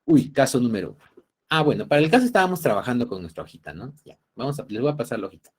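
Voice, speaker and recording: A man's voice: medium pitch, very animated, very fast, breathy edge. A man with a Peruvian Spanish accent lecturing an online university class, explaining cases step by step and often checking that students follow. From Peru. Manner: didactic, checking understanding with tag questions.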